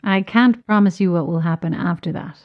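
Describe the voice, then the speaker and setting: An actress playing a cold, taunting caller over a radio in a horror audio drama, measured and ominous. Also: female voice, on the low side, highs cut off. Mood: quiet menace. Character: cold, menacing female caller, insistent and taunting.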